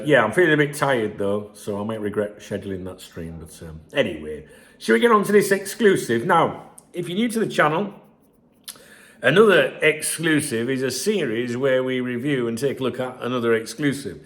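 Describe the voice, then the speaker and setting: A man, croaky from a chest infection, giving sweary and sarcastic casual commentary on a news article as a football channel host. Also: medium pitch, a bit bright.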